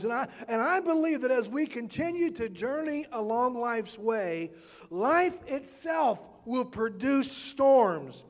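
High-pitched older male preacher in a reverberant hall. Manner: earnest, emphatic preaching with drawn-out key words. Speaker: pastor. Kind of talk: sermon for an online church broadcast. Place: church stage in a large auditorium.

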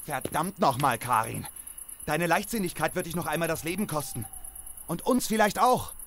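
Male actor as hot-headed, distrustful apocalypse survivor, stern questioning, lively intonation, radio-play style. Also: on the high side, normal speed, slight background noise. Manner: stern, interrogating. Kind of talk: German audio drama acting.

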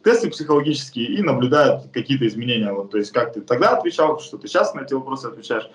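A man's voice: medium pitch, very animated, quick pace, echoey room, poor audio. A man in his thirties telling his own case straight to a close camera in a bare indoor room, speaking plainly and earnestly with frequent hesitations. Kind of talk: first-person testimony to camera.

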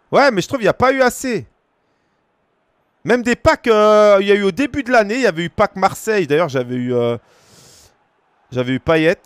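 A man in his thirties from France commentates his own football game on a live stream, chatting casually with his viewers. His voice sits fairly high and swings widely, jumping to excited shouts at big plays. The sound is close and dry, recorded at a home desk. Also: clean tone, no room sound. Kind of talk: live gaming commentary to chat. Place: small room at home.

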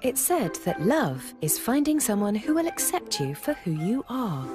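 A woman reading scripted documentary narration with a smooth, expressive delivery. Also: a little high, moderate pace. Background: music.

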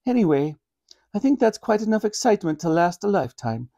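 A man's voice: high voice, big pitch swings, a little fast, mellow, muffled recording, pitched above the performer's own voice. A man voicing a sweet, flustered old grandmother in a storybook reading, with genteel old-fashioned exclamations. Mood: fussy.

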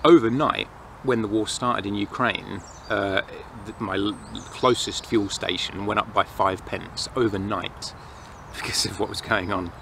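Low-voiced English man, noisy outdoors. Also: steady tone, unhurried, creaky. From England. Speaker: outdoor vlogger. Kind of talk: casual rambling monologue to camera. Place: outdoors in a pine forest. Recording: noisy outdoor ambience, distant planes and traffic.

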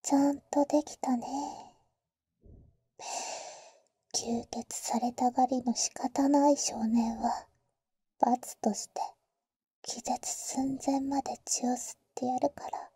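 A woman voicing a doting, teasing older-sister vampire in soft, breathy whispers for intimate roleplay ASMR, close to the mic. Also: a little high, little pitch movement, dry recording, heavily acted. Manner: slow, tender, teasing, boyish casual phrasing. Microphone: close, dry ASMR microphone.

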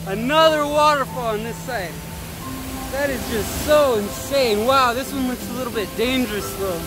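A man vlogging outdoors by a jungle waterfall, excited and awestruck, exclaiming again and again as he explores. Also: very high-pitched, normal speed, over music, low-quality recording. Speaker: travel vlogger. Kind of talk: casual travel vlog narration.

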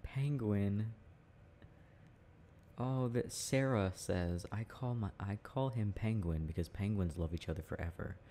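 A young man speaking in a playful, affectionate, halting murmur, like an intimate, casual ASMR boyfriend roleplay, with a deep gravelly voice. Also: warm tone. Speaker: boyfriend roleplay audio performer. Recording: faint background noise.

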